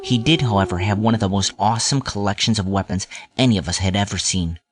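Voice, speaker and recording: A man with a lowish voice narrates a scripted story in the first person. He tells it as a nostalgic look back, in the role of storyteller. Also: quick pace, noisy background.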